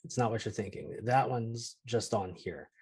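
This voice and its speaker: A man's voice: lowish voice, normal speed, darkish tone, breathy edge. A man speaking as a co-host in a casual, informal chat.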